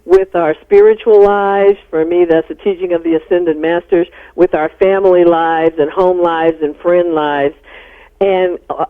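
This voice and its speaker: A woman's voice: lowish voice, fairly even intonation, a little slow, clean tone. American woman on a thin, band-limited line. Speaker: interview guest, psychologist and workshop leader. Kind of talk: explanatory radio interview. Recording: narrow-band phone-like radio sound.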